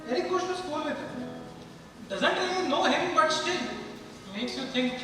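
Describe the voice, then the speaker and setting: A man's voice: very high-pitched, normal speed, far from the mic, background noise. A man acting in a stage play as a chatty, caring nurse and worried parent. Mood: concerned. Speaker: amateur stage actor. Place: stage in a large hall. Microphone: distant microphone. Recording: very echoey hall from afar, music.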